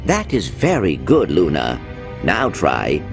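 Small, formal, polite robot sidekick. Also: male voice, extremely low pitch, huge pitch swings, music in the background, exaggerated voice. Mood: polite, composed. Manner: formal, precise, measured diction.

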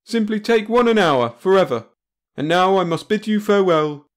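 A brash, earnest space adventurer, voiced by a man in a comic sci-fi tale. His delivery is eager and declamatory, lifted well above a normal talking pitch. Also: expressive, normal speed. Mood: brisk confident.

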